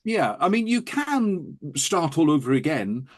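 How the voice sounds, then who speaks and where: A man's voice: fairly high pitch, very animated, a little slow. A man talking enthusiastically and telling anecdotes as an interview guest. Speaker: interview guest, audiophile recording producer. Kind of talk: animated anecdotal interview talk.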